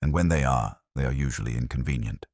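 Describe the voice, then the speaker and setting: A man voicing a brooding, coolly logical Victorian detective in an audiobook, speaking gravely and deliberately. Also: extremely low pitch, a little mellow, dry recording, studio quality, pitched below the performer's own voice. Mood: brooding, reflective.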